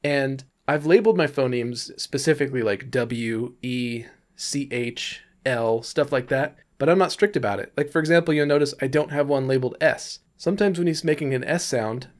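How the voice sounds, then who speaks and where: A man's voice: medium pitch, normal speed, few pauses. A man giving informal, explanatory tutorial narration in an easygoing, loose way. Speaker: tutorial presenter, animator.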